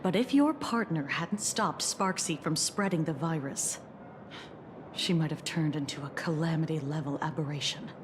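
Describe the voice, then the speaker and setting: An actress playing a composed, stern female investigator in a video game, speaking in a formal, measured briefing manner. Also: sits low, background noise, natural voice. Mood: stern, explanatory. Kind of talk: scripted video game dialogue.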